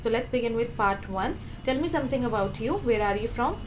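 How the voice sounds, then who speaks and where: A woman with an Indian accent, acting as an English speaking examiner and putting formal, measured questions in a mock interview. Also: a little high, animated. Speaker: IELTS speaking examiner and teacher. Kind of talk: mock exam interview, mixing Punjabi and English in the intro. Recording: noisy background ambience.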